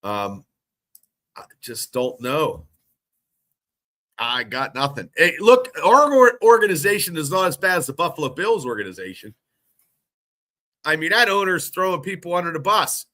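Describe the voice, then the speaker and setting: A man's voice: fairly high pitch, sing-song, moderate pace, long pauses, sharp tone. A congested, under-the-weather man hosting a casual sports podcast, rambling with frequent restarts. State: congested, sick. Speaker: podcast host.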